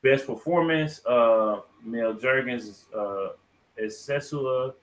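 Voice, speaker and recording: Bright-voiced man hosting a casual podcast. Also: medium pitch, slow, poor audio. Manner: informal, slangy, swearing. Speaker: podcast host. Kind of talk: casual podcast chat with read-out lists.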